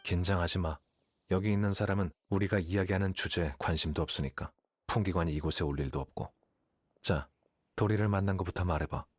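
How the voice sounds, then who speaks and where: A man playing a calm, aloof, calculating young scholar in a video game, explaining things in a composed, matter-of-fact way. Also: low-pitched, brisk, slightly rough, dry recording. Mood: calm, detached. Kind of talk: scripted game dialogue, Korean voice acting.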